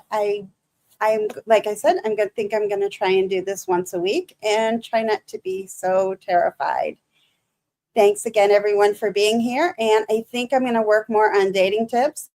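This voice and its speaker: A woman with a Michigan accent hosting a casual livestream, chatting to viewers in a halting, nervous way full of restarts and fillers. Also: medium pitch, darkish tone. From Michigan, USA. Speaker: livestream host.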